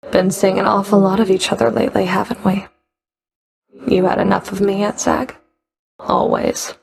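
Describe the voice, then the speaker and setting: A woman's voice: medium pitch, gravelly, a little mellow. A woman playing a stern, sardonic underworld Fury in a video game, cool, taunting, curt and commanding. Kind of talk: video game character voice lines.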